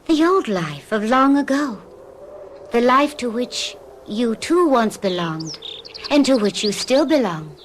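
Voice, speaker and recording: A mysterious young French woman who coaxes in a soft, unhurried, higher voice. Her French accent is gentle and enigmatic. A faint hiss sits beneath the old radio drama recording. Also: big pitch swings. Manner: slow, soft, coaxing and enigmatic. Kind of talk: 1970s radio drama acting.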